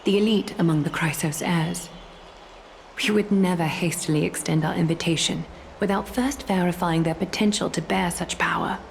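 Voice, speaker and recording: Game role: composed ancient female leader, low, formal, solemn, measured delivery. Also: fairly even intonation, creaky, a little hiss or hum, low-quality recording. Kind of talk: scripted video game acting. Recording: music behind.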